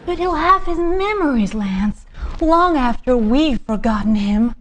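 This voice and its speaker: A woman's voice: high-pitched, expressive, unhurried, slight background noise. A woman playing a perky, gushing co-host of a futuristic TV race show, with theatrical, exaggerated enthusiasm. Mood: sweetly upbeat. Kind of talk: theatrical game show presenting.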